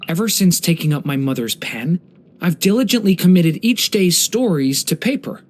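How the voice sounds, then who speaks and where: An actor voicing a gentle, earnest young dragon boy in a video game, speaking with soft-hearted wonder and care. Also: mid-range voice, lively intonation, moderate pace, close to an everyday voice. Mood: reflective, content. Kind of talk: scripted game character voice lines.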